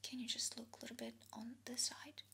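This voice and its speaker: Young woman doing asmr roleplay, bright breathy whisper right up on the mic, gentle directions. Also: a little high, flat delivery. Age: 20s. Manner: soft, slow, gentle instructions with pauses. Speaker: ASMR creator, sketch artist role-play. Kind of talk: ASMR personal-attention role-play, whispered. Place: indoor studio with plain dark backdrop.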